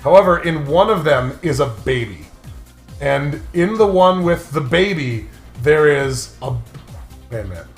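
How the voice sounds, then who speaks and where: A man's voice: on the high side, lively intonation, unhurried. Guy rambling goofy what-ifs, really bouncy intonation, echoey room, bit of music behind. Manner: giggly, rambling storyteller of absurd hypotheticals. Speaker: podcast co-host. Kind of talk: casual comedic banter.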